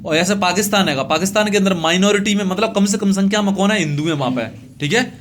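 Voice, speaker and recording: A male teacher with a notably high voice and a north-central Indian accent leads a classroom lesson. He goes over each phrase piece by piece, posing short questions and supplying the answers himself. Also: crowd noise. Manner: repetitive, asks questions and answers them himself; slow, deliberate reading of English phrases, translated piece by piece. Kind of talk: classroom English lesson explained in Hindi.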